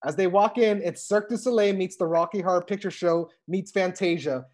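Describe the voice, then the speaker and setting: A woman's voice: fairly low pitch, fairly quick, darkish tone. A woman reading scripted stage directions as narrator for a comedic table read. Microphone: video call.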